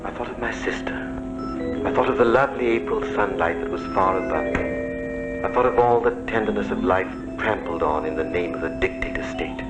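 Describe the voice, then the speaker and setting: Loud music surges under a brooding, desperate man's inner monologue, performed by an actor. The voice is fairly low, introspective and deliberate, in the dramatic style of 1940s suspense. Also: close to an everyday voice, rough recording. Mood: brooding, tense. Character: brooding man narrating his own desperate inner thoughts.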